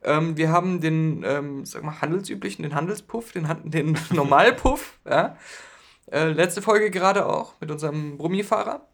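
A man's voice: fairly high pitch, normal speed. A man co-hosting a casual comedy podcast, joking and often laughing as he reads posts aloud. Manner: jokey, giggly, reads forum posts aloud. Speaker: podcast co-host.

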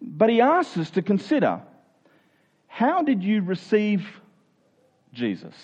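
A male preacher with a fairly high voice teaches from scripture in a conversational way. He explains each point, repeats key phrases and checks that listeners are following. The recording sounds a little dull and lo-fi. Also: huge pitch swings, takes it slowly, a little mellow, husky. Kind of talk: expository Bible teaching sermon, conversational.

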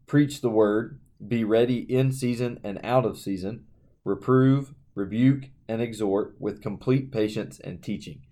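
Low, mellow man reading scripture. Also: unhurried. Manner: steady, reads verses aloud plainly. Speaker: podcast co-host.

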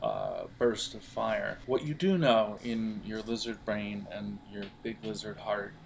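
Gravel-edged man running a tabletop game. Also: fairly low pitch, expressive, a little hiss or hum. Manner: halting, thinking aloud. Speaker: game master. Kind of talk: narrating an audio role-playing game.

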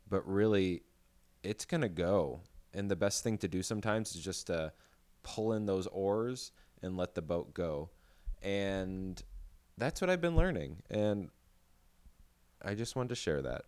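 A man hosting a podcast who speaks earnestly and reflectively. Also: low-pitched. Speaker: podcast host. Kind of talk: podcast intro and casual chat.

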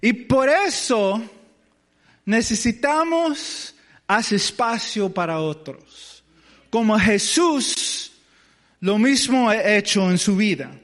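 A man's voice: high voice, lively intonation, slow, a bit bright, in a large hall. A man preaching as pastor, hesitant and searching for words in a language that is not his first, with as his tongue. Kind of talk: sermon.

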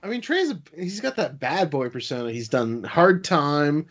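A man's voice: a little high, very animated, moderate pace, fairly bright tone, muffled recording. A man co-hosting a podcast in casual banter about television. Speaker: podcast co-host. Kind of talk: casual banter, some read-out synopsis.

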